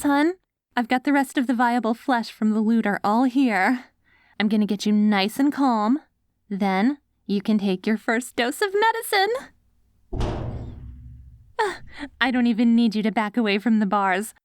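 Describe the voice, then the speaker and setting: Casting: woman to play hopeful, devoted wasteland wife for ASMR drama. Higher-pitched, gently coaxing, very dry sound. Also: expressive, normal speed, dry recording, close to an everyday voice. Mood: hopeful, excited. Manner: tender, coaxing, speaks directly to a loved one. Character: devoted, hopeful wife caring for her zombie husband after apocalypse. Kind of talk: ASMR audio roleplay.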